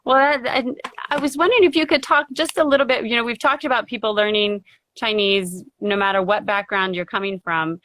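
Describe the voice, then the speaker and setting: A woman's voice: mid-range voice, steady tone, a little fast, a bit bright, a little hiss or hum. A woman hosting a live video interview, asking questions in a supportive way with a few hesitant restarts. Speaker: interview host. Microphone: video call.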